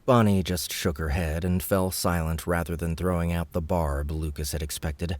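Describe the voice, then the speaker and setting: A man's voice: deep voice, steady tone, moderate pace. A man reading scripted fiction narration for an audiobook.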